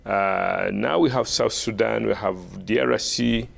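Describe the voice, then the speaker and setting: Ugandan man, deep gravelly voice. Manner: measured, frequent short affirmations. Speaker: bishop, guest. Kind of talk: conversational interview, recounting history.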